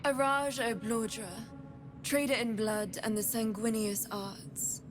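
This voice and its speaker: An imperious, morbidly curious female blood trader from a fantasy game. She speaks in a high, silky, measured voice, taking her time, with clear ambient noise behind her. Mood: silky, intrigued. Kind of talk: dramatic video game acting.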